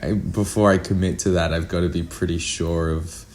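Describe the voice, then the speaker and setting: Low-voiced man chatting candidly. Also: fairly even intonation, normal speed, darkish tone, slight background noise. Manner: candid, informal, mildly sweary, self-interrupting. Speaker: actor and producer guest. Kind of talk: casual interview chat.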